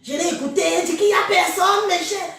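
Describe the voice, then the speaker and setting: A woman holds forth in a scornful, preachy live-stream rant and speaks straight to her viewers. Her high voice sounds stuffed up from a head cold. She sits well back from the mic in a room full of echo. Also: steady tone, fairly quick, fairly bright tone. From Cameroon. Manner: scornful, preachy, addresses listeners directly. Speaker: social media live streamer. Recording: very echoey room, far from mic.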